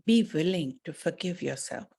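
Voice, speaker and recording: A woman leading a guided meditation and spiritual talk in a measured, soothing manner, pausing often and deliberately between phrases. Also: fairly low pitch, expressive, gravelly, dark tone, slightly rough.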